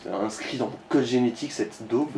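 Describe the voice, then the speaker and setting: A man's voice: mid-range voice, brisk, warm tone, recorded from a distance, slight background noise. A man giving casual, crude co-commentary and tips during a video game. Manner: crude, sweary banter. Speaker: let's play co-commentator. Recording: roomy echo, game music underneath.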